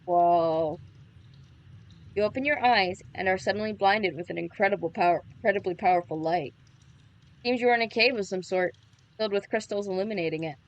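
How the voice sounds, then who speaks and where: A woman's voice: medium pitch, fairly quick, dull audio. A woman livestream host reading a visual novel aloud, with playful casual reactions. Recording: background music under the voice. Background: music.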